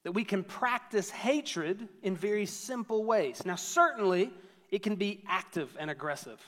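High-voiced man preaching in a reverberant hall. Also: lively intonation, unhurried, fairly bright tone. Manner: conversational, storytelling with self-deprecating humor. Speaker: pastor. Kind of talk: sermon.

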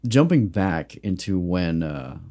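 A man's voice: low-pitched, sing-song, slow, warm tone. A man co-hosting a casual chat, rambling and joking with plenty of fillers and restarts. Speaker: podcast co-host.

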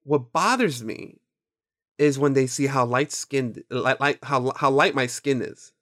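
A man's voice: mid-range voice, unhurried, a bit bright. A man in his twenties whose first language is Spanish, chatting casually on a podcast, rambling and swearing freely as he quotes his own thoughts. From Mexican and Nicaraguan heritage. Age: 20s. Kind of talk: casual chat.

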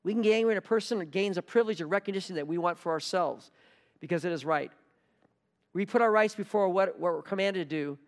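An earnest male pastor preaches, leaning on key words and repeating them for emphasis. His voice sits a little high and trails a long reverb through a church hall. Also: fast talker. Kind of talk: sermon.

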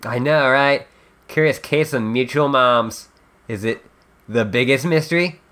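A man voicing a chatty, flippant teenage girl in a webcomic dub, with wry, drawn-out emphasis. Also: medium pitch, a bit bright, natural voice. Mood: curious, musing. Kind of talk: character dub reading of a webcomic.

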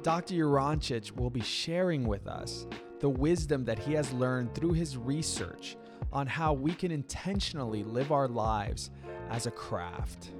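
A man's voice: mid-range voice, expressive, measured pace. A man hosting a faith podcast, introducing a guest and asking questions with enthusiasm. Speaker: podcast host. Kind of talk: scripted podcast introduction and interview. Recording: music playing beneath the voice.

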